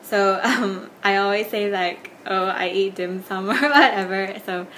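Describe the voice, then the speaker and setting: A young woman student from Hong Kong chats casually, often saying like. Her pitch sits in the middle range. A faint hum of ambience sits behind her. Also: measured pace, fairly bright tone. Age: young adult. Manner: casual, chatty, says like often. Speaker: university student. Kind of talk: interview answer.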